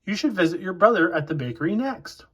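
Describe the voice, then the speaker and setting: A man voicing a kindly father elf who gives quiet, encouraging advice in a storybook. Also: high-pitched, animated, quick pace, breathy edge, exaggerated voice.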